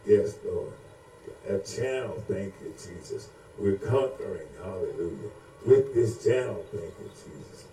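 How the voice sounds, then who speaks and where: A man's voice: low voice, animated, a little slow, dark tone. A man preaching and praying fervently off the cuff, with repetitive, chant-like invocations. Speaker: preacher leading prayer. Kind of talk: fervent extemporaneous prayer and exhortation. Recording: roomy echo with faint background noise.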